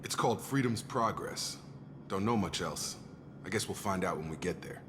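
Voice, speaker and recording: An actor playing a jaded, dutiful ex-military operative in a video game, plain-spoken and matter-of-fact. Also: male voice, low-pitched, normal speed, lightly acted. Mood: guarded, matter-of-fact. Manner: matter-of-fact, world-weary. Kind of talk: cinematic video game dialogue. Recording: long reverb, loud background noise.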